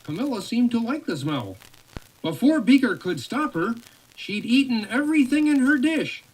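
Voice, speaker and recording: A woman's voice: on the high side, big pitch swings, moderate pace. A woman reading a children's storybook aloud in a playful way, with chatty asides to the child turning the pages. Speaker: storybook reader. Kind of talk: read-along story narration with casual asides. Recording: noisy home recording.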